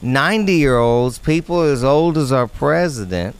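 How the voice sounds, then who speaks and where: Loud, profane man hosting a podcast. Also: medium pitch, animated, unhurried, smooth. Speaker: podcast host. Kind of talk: casual podcast chat.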